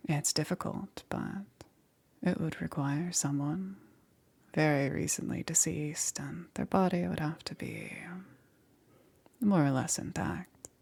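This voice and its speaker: A woman playing a calm, eerily gentle witch necromancer in an ASMR roleplay, breathy and often whispering, with unhurried pauses. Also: deep voice, full character voice. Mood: calm explaining. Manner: unhurried, halting with pauses, soothing. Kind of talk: ASMR roleplay, soft and intimate.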